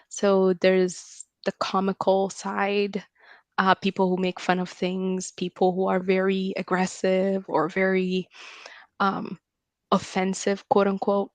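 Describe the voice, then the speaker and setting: A woman with an Arabic accent calling into a livestream, talking haltingly with many pauses and small laughs. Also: medium pitch, dry recording. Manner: hesitant, with frequent laughs and ums. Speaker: caller. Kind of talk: casual call-in chat.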